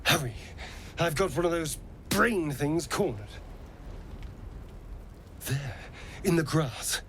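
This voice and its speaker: A man's voice: a little high, expressive, slow pace, fairly bright tone, a little hiss or hum, exaggerated voice. An actor voicing a sly, haughty male vampire rogue in a video game, with an affected, theatrical drawl. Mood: coaxing. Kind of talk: video game cutscene dialogue.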